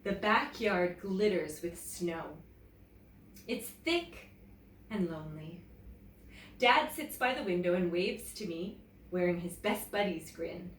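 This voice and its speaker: A woman teacher reading a picture book aloud to children in an expressive, deliberate, animated way. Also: on the low side. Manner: expressive, deliberate, addressing young listeners. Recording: roomy, echoey, distant mic, faint noise.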